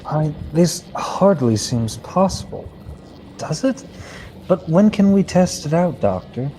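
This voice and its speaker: A man's voice: on the high side, expressive, slow, mellow, slight background noise, low-quality recording. A young man playing a restless, eager space adventurer in an old-time radio serial. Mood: eager, puzzled.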